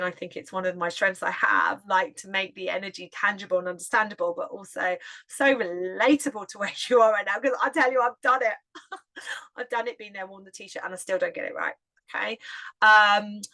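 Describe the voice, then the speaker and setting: Loud, bright-voiced English woman. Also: mid-range voice. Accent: Hertfordshire English. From England. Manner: loud, chatty, laughs often. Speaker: podcast host and yoga teacher. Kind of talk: casual solo podcast talk.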